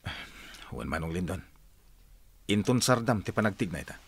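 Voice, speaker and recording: A man with a Filipino accent playing a gruff, emotional fighter in a radio drama, over loud noise. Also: sits low, animated, moderate pace, exaggerated voice. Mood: dutiful, determined. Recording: loud hum and engine noise.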